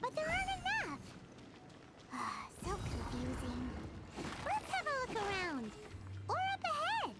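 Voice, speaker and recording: Teeny squeaky fairy sidekick, childish n jumpy, swoops all over, game music. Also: female voice, huge pitch swings, unhurried, exaggerated voice. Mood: confused. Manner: squeaky, childlike, speaks of self in third person. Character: tiny floating fairy companion, childlike, excitable and easily scared. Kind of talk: video game dialogue acting.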